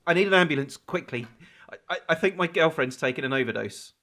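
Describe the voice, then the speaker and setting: Anguished, sharp-edged young man. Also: fairly high pitch, animated. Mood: panicked. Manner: hesitant, halting, stammering. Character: troubled young man in a fraught relationship, apologetic, anguished. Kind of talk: naturalistic audio drama acting.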